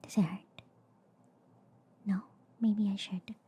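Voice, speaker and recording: A woman voicing a quirky, reassuring alien girl doctor in a soft ASMR roleplay, mostly in a hushed, breathy whisper with a soothing, chatty manner. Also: medium pitch, fairly even intonation. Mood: playful, reassuring. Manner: slow, chatty, soothing, repeating filler words. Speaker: ASMR performer.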